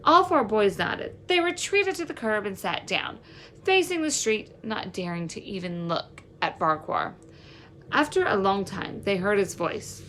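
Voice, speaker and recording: A woman reading a children's novel aloud as a teacher would, with an expressive, instructive delivery. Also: medium pitch, unhurried, a little hiss or hum. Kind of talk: storybook read-aloud narration.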